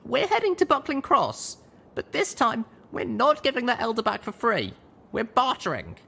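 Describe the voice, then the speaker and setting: A man's voice: very high voice, huge pitch swings, moderate pace, vocal fry, bright, forceful tone, husky, voice pushed up, full character voice. A man voicing a small, stubborn, righteous young mare who leads an adventure in an audio drama. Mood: commanding.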